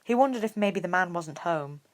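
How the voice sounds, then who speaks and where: A woman's voice: lowish voice, expressive, moderate pace. A woman narrating fan fiction as an audiobook reader. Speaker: podfic reader.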